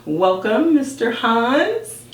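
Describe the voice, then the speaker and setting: An American woman hosting a podcast interview in a chatty, informal and excited way. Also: fairly high pitch, slow pace. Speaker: podcast host. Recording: roomy, distant mic with background noise.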